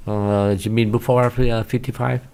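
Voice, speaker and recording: A man in his seventies with a Vietnamese accent, a non-native speaker recalling his past in an interview, halting often with many hesitations and loose grammar. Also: mid-range voice, flat delivery, vocal fry, a little mellow, smooth. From northern Vietnam. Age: 70s. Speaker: guest, former pilot. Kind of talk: casual interview recollection.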